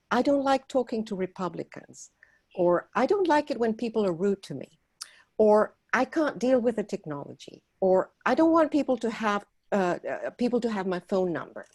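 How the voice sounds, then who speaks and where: A woman from Argentina, a volunteer organizer, giving an earnest prepared talk at a meeting and pausing often on fillers. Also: medium pitch, dark tone, breathy edge. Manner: earnest, persuasive, with frequent filler pauses.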